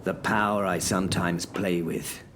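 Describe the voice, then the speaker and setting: A man's voice: low voice, steady tone, a little slow, noisy background. A man narrating a horror audio drama in the first person, brooding and dramatic.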